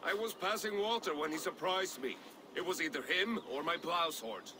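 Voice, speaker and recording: An actor playing a wry, practical Viking warrior in a video game, with a dry, matter-of-fact delivery. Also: male voice, fairly high pitch, normal speed, background noise, natural voice. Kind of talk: dramatic video game acting.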